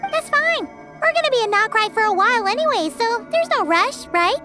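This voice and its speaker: A tiny floating girlish companion from a video game, squeaky and childlike. Her voice sits extremely high and leaps around in lively swoops. She chatters eagerly, always excitable. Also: moderate pace, music in the background. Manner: bouncy, speaks of herself in third person. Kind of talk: video game dub acting.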